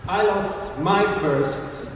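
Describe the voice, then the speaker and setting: Man, German first language, poet reading, measured, echoey church hall, distant mic. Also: high-pitched, a little hiss or hum. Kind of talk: live poetry reading.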